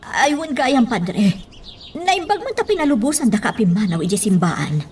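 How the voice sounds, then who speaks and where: A woman's voice: a little high, sing-song, moderate pace, a little mellow, distant mic, a little hiss or hum. A woman with an Ilocano accent playing a hospitable, worried household woman in a radio drama. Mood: surprised, welcoming. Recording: off-mic radio drama over clear music.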